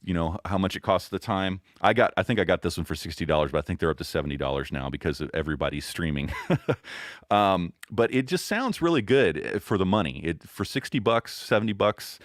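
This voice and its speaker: A man with a boomy voice, a podcast guest explaining microphones and audio settings in a relaxed, casual interview chat. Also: no echo, studio quality. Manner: relaxed, explains gear step by step with fillers.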